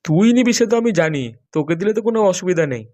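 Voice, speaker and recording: A man's voice: sits high, animated, rapid-fire, a little mellow. A man voicing a reassuring older father pressed for money in a comedy cartoon. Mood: reassuring, hesitant. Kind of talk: amateur comedy cartoon dialogue.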